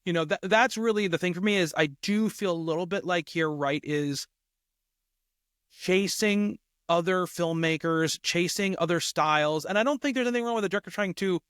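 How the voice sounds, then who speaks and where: A man with a fairly high voice co-hosts a casual film discussion. He explains his points conversationally, tagging them with small check-ins. The recording is close and dry. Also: moderate pace, a bit bright, clean tone, no room sound. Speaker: film podcast co-host.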